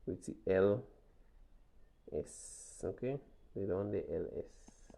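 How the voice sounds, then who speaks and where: A man's voice: deep voice, slow pace, gravelly, mellow. A man teaching a Spanish lesson, patiently walking through examples and checking in with "okay?" as he goes. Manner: patient, repeats points, frequent "okay?" checks. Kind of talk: classroom lesson walkthrough.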